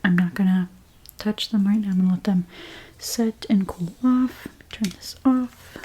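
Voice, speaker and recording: A woman whispering breathily in an ASMR role play as a hairstylist, gently and unhurriedly narrating each step. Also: medium pitch, measured pace, slight background noise.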